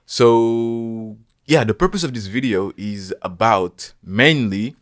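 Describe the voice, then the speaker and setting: A man hosting a casual podcast interview, talking in halting bursts with many restarts and some teasing. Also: lowish voice. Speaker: podcast host.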